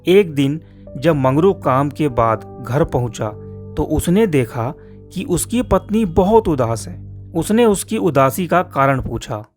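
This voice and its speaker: A man tells a scripted moral tale as a cartoon narrator. His voice is a little high and unhurried, with soft guitar music behind it. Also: lively intonation.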